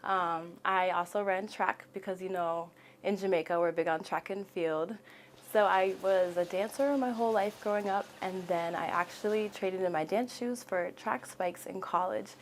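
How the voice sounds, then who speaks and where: A woman from Northern Virginia who is a cheerleader, speaking in a thoughtful, expressive way in an interview. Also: mid-range voice, normal speed, a little hiss or hum, rough recording. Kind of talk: interview testimony.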